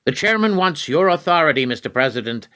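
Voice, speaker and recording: A man acts out a cold-hearted political adviser in a radio drama. The voice is forceful and bright, pitched a little high for a man, and he argues his case in formal, insistent terms. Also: animated, fairly quick, clear, resonant tone. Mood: urgent, formal. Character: calculating, cold-hearted male presidential adviser from energy business. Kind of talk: radio play acting.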